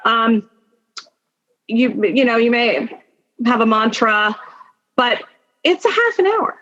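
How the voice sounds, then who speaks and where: A woman speaking as an interview guest in a conversational, informal way, thinking aloud in halting phrases with many asides. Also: a little high, big pitch swings, fairly bright tone. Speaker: podcast guest, attorney and executive. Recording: dull lo-fi sound.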